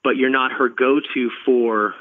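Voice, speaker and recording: A man's voice: medium pitch, steady tone, unhurried, no echo, narrow, tinny audio. A middle-aged man from Los Angeles hosting a podcast, chatting earnestly and often repeating words as he starts a sentence. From Los Angeles, California. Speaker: podcast host. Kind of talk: casual conversational chat.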